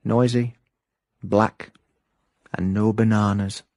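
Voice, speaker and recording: An actor playing a wry ex-miner private eye in a radio comedy, with a South Yorkshire accent and deadpan, mock hard-boiled delivery. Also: male voice, on the low side, slow pace, dry recording, studio quality. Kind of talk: comic radio drama acting.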